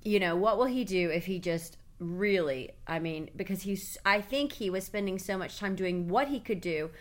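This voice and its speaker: A woman's voice: mid-range voice, moderate pace. A woman from Tennessee, chatting about politics in a rambling, hedging way. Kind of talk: casual political chat.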